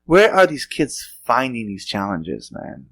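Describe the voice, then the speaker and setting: A middle-aged man hosts a casual podcast chat. He rambles through stories, restarting his sentences often. His voice sits at an ordinary pitch for a man. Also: very animated, measured pace, a bit bright. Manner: loose rambling storytelling with frequent restarts and laughs. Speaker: podcast host.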